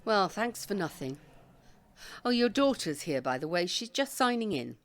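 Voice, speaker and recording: A fussy older British busybody, with a very lively, swooping delivery. She is brisk and bossy in an audio comedy drama, at a medium pitch for a woman. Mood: brisk, chirpy. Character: bossy, fussy, chirpy elderly woman care-home resident.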